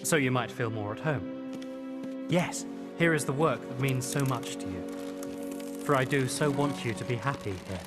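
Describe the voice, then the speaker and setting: A man voicing a kindly, commanding king with a courtly manner in an animated fairy tale. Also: mid-range voice, measured pace, pauses often, close to an everyday voice. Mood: tender, smitten. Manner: courtly, authoritative. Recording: loud music behind.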